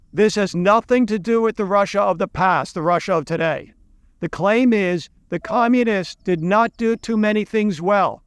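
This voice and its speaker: A man's voice: high voice, clear, resonant tone, no echo. A middle-aged American man, a college teacher, giving a loose, rambling talk to camera with restarts and asides. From United States. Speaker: college teacher and video lecturer.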